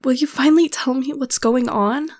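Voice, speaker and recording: High-voiced anxious mother played by a woman. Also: a little mellow. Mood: worried. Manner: halting, emotional. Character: watchful, anxious wife and protective mother. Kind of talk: audio drama acting.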